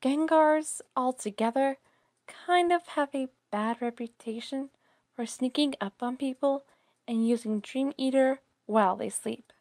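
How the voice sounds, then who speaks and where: A woman's voice: high voice, a little slow, a little mellow, no room sound, studio quality. A woman voicing a frank, plain-spoken young Pokémon who sticks by friends, in a fan audio drama. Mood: matter-of-fact.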